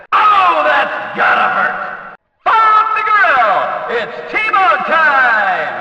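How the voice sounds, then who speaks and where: An actor playing a rowdy, sarcastic race announcer in a video game, calling hits in excited, mocking, exclamatory sports commentary. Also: male voice, very high voice, very echoey, in a large hall, recorded from a distance, heavy background noise, heavily acted.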